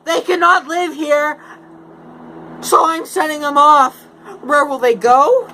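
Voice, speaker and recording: A small grumpy forest creature scolds in a squawking, croaky voice pitched far above the narration. The fussy complaints come high and strained. Steady background noise sits under the reading. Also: slow pace, heavily acted. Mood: scolding.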